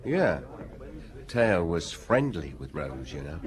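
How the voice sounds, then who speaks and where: A man's voice: sits low, very animated, slow pace, full character voice. An actor playing an easygoing, chatty barman in a radio drama, informal and obliging. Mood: gossipy.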